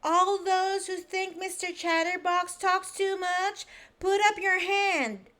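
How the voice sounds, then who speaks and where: A shrill, bossy little storybook girl barking orders, voiced by a woman. The voice is pitched very high and pushed loud. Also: fairly even intonation, slow pace, exaggerated voice. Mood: commanding. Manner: loud commanding.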